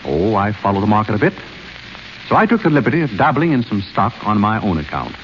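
An actor playing a polite, clever butler in a 1930s radio play, suave and understated. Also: male voice, fairly low pitch, big pitch swings, fast, a bit bright. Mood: composed. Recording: muffled old radio broadcast with faint hiss.

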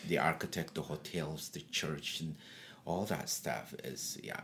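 Deep-voiced man from Eritrea, chatty. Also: unhurried, a bit bright, a little hiss or hum. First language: Tigrinya. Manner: chatty storyteller, frequent restarts and laughs. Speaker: interviewee. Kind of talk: casual conversational interview.

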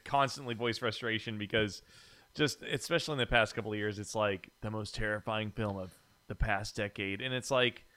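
A man hosting a casual podcast, talking in halting, conversational phrases. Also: lowish voice, fairly even intonation, sharp tone. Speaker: podcast host.

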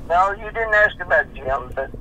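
A man, answering questions on a phone call in a halting, stammering way. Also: sits high, lively intonation, bright, forceful tone, a little hiss or hum. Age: 50s. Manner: halting, stammering, repeats himself. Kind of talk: casual phone conversation.

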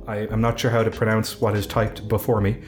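A man with a deep voice co-hosting a casual podcast, reading listener emails with dry, deadpan jokes. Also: fairly quick, faint music behind. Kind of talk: casual chat.

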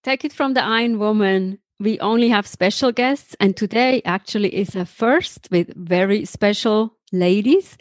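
A woman's voice: mid-range voice, normal speed, hardly any pauses, faint music behind. A woman hosting a podcast, introducing and interviewing guests. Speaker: podcast host.

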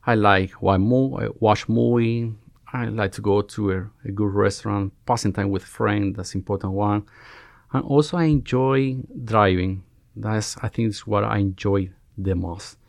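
A man in his forties with a Cuban Spanish accent, a resident doctor being interviewed on a podcast, who often pauses and restarts as he searches for words. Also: fairly low pitch, creaky, no room sound, clean studio sound. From Cuba. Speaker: guest, family medicine resident.

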